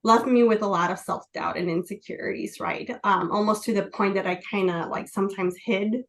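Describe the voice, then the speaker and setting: A woman in her forties from Chicago, a native Spanish speaker, telling personal stories as a podcast guest in an earnest, halting way with many fillers. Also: mid-range voice, steady tone, a bit bright, a little hiss or hum. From Chicago, USA. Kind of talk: casual interview, personal storytelling.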